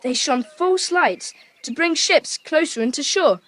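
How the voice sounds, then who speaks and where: High, lively child adventurer. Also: female voice, a little slow. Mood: thoughtful. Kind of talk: children's audiobook drama acting.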